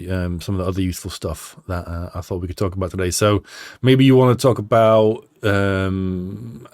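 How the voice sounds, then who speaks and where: A man's voice: sits low, a little mellow, clean tone, no echo, clean studio sound. A man hosting a casual podcast, chatty and self-deprecating, a little stuffy from a cold. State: slightly stuffy nose from a cold. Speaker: podcast host. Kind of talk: casual chat.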